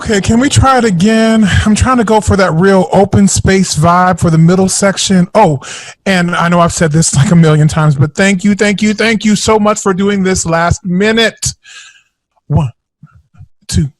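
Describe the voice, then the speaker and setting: An actor playing an eager, grateful young aspiring jazz singer in an audio drama, talking casually. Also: male voice, high-pitched, steady tone, normal speed, no room sound, soft background music, close to an everyday voice. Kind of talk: audio drama acting, casual modern speech.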